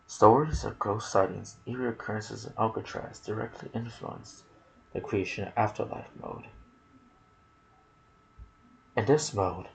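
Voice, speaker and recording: A man narrating game lore in ASMR style, soft and breathy, often slipping toward a whisper, musing aloud in casual asides. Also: lowish voice, slight background noise, low-quality recording. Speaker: gaming video narrator.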